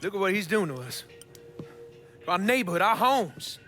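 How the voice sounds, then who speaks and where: Pompous, hot-tempered Southern gentleman. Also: high-pitched, sing-song, normal speed, over music. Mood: outraged. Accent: American Southern. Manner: indignant, haughty. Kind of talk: dramatic video game acting.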